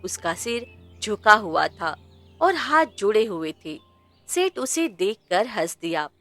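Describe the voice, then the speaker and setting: A woman's voice: mid-range voice, sing-song, takes it slowly, fairly bright tone. A woman narrating a scripted moral story as its storyteller. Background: music.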